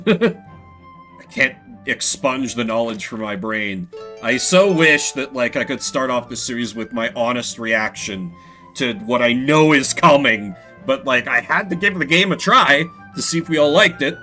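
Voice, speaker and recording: A man's voice: mid-range voice, sharp tone. A man talking casually as a game streamer, rambling and often interrupting himself. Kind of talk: casual livestream chat and commentary.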